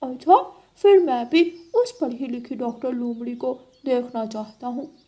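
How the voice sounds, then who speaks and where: An eager young crow boy in a children's cartoon, voiced by a woman, trusting and stubborn, chattering away to his friends. The voice is high, with a darker, softer tone. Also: a little slow. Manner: eager, cheerful.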